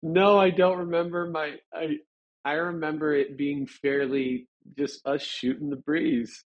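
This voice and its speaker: An American man from Chicago telling stories casually as an interview guest, halting and rambling with lots of filler words. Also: on the high side, level tone. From Chicago, United States. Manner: halting, rambling, frequent "like" and "you know". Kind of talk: casual conversational storytelling. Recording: dull lo-fi audio.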